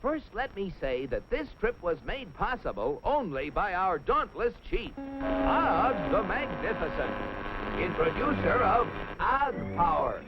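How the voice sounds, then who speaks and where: Excitable high-voiced cartoon Martian explorer. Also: male voice, measured pace, background noise, full character voice. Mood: proud, fawning. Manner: earnest, grandiose, speech-making with flustered hesitations. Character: eager, boastful, obsequious Martian space explorer. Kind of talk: 1950s animated cartoon narration in character. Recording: muffled 1950s film soundtrack.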